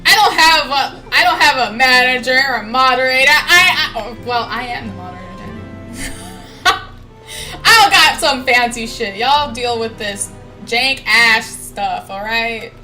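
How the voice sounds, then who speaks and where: A young woman streamer with a high, extremely bright voice. She chats casually and unhurriedly over a game, swearing freely and giggling as she teases viewers. Faint music sits behind her. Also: a little slow, poor audio. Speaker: livestreamer. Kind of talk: casual gameplay stream chat.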